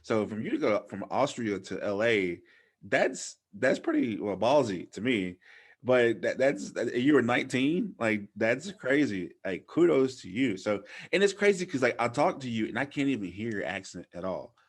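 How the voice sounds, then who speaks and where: A man's voice: medium pitch, big pitch swings, normal speed. A man in his thirties from Louisiana hosting a casual interview in an informal, slangy, effusive way. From Louisiana, United States. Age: 30s. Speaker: podcast host, former DJ.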